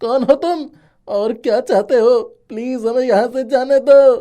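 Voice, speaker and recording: A woman's voice: a little high, a little slow, dark tone, lightly acted. A woman playing a frightened young male bully in a children's moral story, alarmed and pleading. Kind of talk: animated moral story acting.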